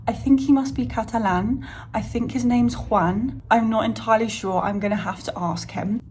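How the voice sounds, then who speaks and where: A young woman talks to camera in a relaxed, rambling way, piling on "like" and restarting her sentences as she thinks out loud. Her pitch sits in the middle of the range for a woman. The phone on a tripod by the window picks up a faint hum of room ambience behind her. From Spain. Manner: chatty, rambling, filler-heavy. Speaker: vlogger. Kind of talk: casual vlog monologue to camera. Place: flat in a city, by a window. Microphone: phone on a little tripod.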